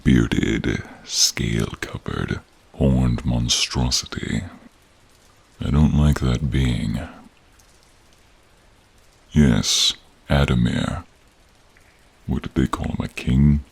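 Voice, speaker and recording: A man playing a gentle, protective male dragon in human form, speaking tenderly and haltingly in a deep, gravelly, husky voice for an audio role-play. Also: steady tone, full character voice. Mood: disdainful. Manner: tender, halting, hushed, drawn-out phrasing. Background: rain and cave ambience.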